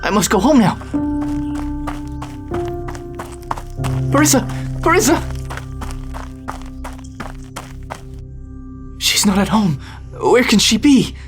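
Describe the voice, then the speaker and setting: A man playing a sorrowful, earnest father, a fallen god, in an animated bedtime story. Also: high voice, normal speed, soft background music. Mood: panicked.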